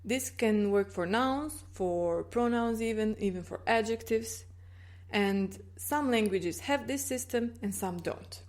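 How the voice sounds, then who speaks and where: A woman's voice: medium pitch, slow pace, darkish tone. Woman, language teacher, patient explainer, checks understanding often. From Croatia. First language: Croatian. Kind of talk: explaining grammar in a video lesson.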